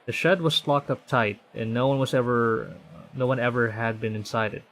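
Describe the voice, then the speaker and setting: A man's voice: mid-range voice, slight background noise, low-quality recording. A man reading a visual novel aloud on stream, conversational, often stumbling and correcting himself. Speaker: VTuber streamer.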